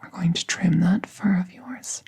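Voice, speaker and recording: A woman playing a gentle magical veterinarian in an ASMR roleplay, soothing and reassuring in a hushed, breathy voice. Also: lowish voice, unhurried, heavily acted. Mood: calm, reassuring.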